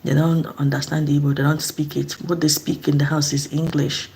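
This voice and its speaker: A woman's voice: sits low, flat delivery, fairly quick, gravelly, darkish tone. A middle-aged woman from eastern Nigeria, speaking in a casual, earnest vlog monologue and asking reflective questions. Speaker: vlogger.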